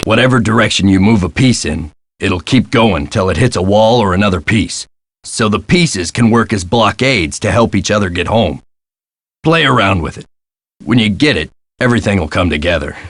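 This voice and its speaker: Game caretaker, American, low and gruff, world-weary, guarded, folksy. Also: male voice, fairly bright tone, no echo. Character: gruff world-weary male castle caretaker, guarded about his past. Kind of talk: video game voice acting.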